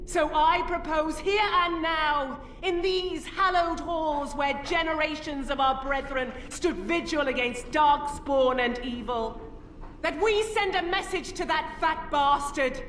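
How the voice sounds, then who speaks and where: A defiant warrior commander in a fantasy game, high-pitched and declamatory. She speaks slowly and resolutely, from a distance in an echoing hall. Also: fairly bright tone. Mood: rousing defiance. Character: defiant female warrior commander rallying besieged troops. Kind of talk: fantasy video game oratory. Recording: echoey hall, distant, faint noise.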